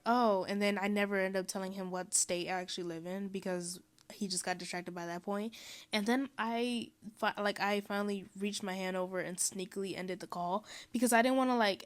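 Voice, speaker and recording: Rambling young woman vlogger. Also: medium pitch. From Mexico. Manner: rambling, lots of "um" and "like", quick laughs. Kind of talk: casual chatty voiceover.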